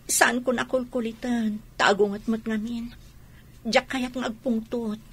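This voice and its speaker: A woman's voice: fairly high pitch, steady tone, a little slow, a bit bright, husky, exaggerated voice. A woman playing a weary, patient wife in an Ilocano radio drama, speaking in a pleading, apologetic manner. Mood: worried, resigned. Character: weary, patient wife left waiting for money.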